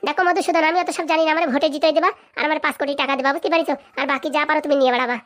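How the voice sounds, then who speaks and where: A woman's voice: high-pitched, fairly even intonation, very fast, fairly bright tone. A woman voicing a greedy, domineering village madam with a rural Bengali accent in a comedy cartoon. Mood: bossy, demanding. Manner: domineering, scolding. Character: bossy, greedy village madam running for election.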